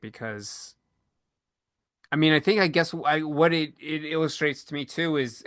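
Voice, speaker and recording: A man co-hosting a podcast discussion in a casual, thoughtful way, often halting and repeating words as he thinks. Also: mid-range voice, fairly bright tone, no room sound, clean studio sound. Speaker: podcast co-host.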